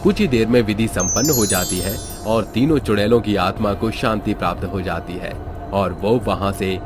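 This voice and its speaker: A man with a somewhat low voice narrates a spooky cartoon tale in a steady, even storytelling manner. Music runs underneath his reading. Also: normal speed.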